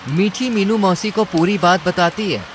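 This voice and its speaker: A man's voice: high voice, normal speed. A man reading scripted narration for a cartoon story. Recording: clear rain and ambience noise.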